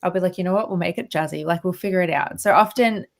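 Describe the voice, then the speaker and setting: A woman in her thirties chats as a radio guest, her voice sitting a little low. She talks loosely, circling back on her words and laughing often. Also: quick pace, gravelly. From Australia. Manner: chatty, laughs often, many restarts and fillers. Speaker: guest violinist. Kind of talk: casual radio interview.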